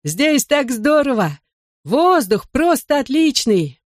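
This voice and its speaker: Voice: sits high, big pitch swings, slow, no echo, pitched above the performer's own voice, full character voice. A narrator plays a bold, eager storybook boy who leads the gang, in a raised voice. The calls are exuberant and rallying, with a dry sound. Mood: elated. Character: eager, bold boy leader cheering on his friends.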